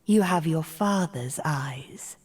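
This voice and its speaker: A woman's voice: deep voice, a little mellow, full character voice. A woman playing a haughty, scheming sorceress in a video game, with cold menace and a whispery, imperious delivery. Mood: sly, haughty. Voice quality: breathy, whispery. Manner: slow, deliberate, imperious. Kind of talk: video game character voice lines.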